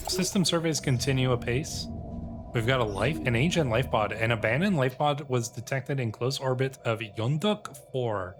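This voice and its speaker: A man with a mid-pitched voice hosts a gameplay session in a casual way. He muses aloud as he weighs options and reads on-screen text, with music playing behind him. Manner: musing aloud, tentative. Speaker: video game streamer and host. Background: game music.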